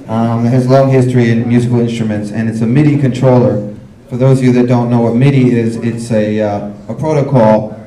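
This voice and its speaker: A man, a music producer, explaining his equipment at a workshop in a relaxed, rambling way with many fillers. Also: mid-range voice. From United States. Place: workshop room at a cultural venue. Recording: roomy venue, mic from far off.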